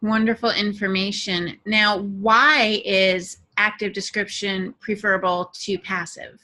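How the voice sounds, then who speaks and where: A woman's voice: mid-range voice, flat delivery, measured pace, bright, forceful tone. A woman hosting a podcast interview, bantering with guests and putting questions to them. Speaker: podcast host.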